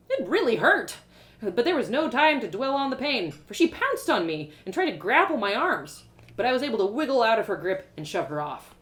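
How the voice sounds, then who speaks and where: A woman reading a first-person adventure story aloud in a dramatic, expressive way. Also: mid-range voice. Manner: dramatic, animated, with exclamations and sighs. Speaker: author reading her own story aloud.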